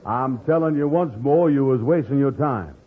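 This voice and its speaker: A man acting in 1940s radio comedy, playing a gullible, blustering but soft-hearted fellow who hems and mangles his grammar. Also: mid-range voice, lightly acted. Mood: stubborn. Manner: slow-witted, hemming, mangling grammar. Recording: tinny, band-limited old radio recording.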